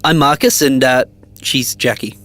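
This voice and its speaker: A man's voice: medium pitch, moderate pace, a bit bright, natural voice. An actor playing a cautious, practical male survivor in a dystopian audio drama, casual and placating. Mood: tentative.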